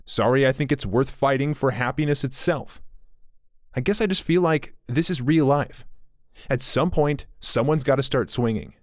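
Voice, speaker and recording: A man's voice: medium pitch, normal speed, dry recording, clean studio sound, lightly acted. A man voicing an agitated, drunk young man who blurts and rambles scornfully.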